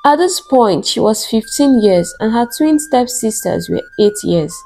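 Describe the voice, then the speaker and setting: Slow woman storyteller, mellow voice. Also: on the high side, animated, soft background music. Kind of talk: scripted folktale narration.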